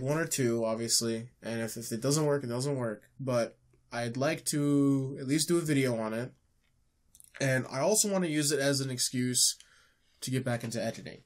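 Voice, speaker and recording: A man with a stuffed-up nose, co-hosting a casual gaming podcast and rambling with many fillers and restarts. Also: mid-range voice. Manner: rambling, filler-heavy, self-correcting. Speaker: podcast co-host. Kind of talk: casual chat.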